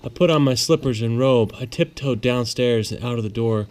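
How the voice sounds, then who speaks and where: A man's voice: medium pitch, a little mellow. A man, a father, narrating a children's bedtime storybook to his child in a plain read-aloud manner. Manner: pauses to answer child's questions simply.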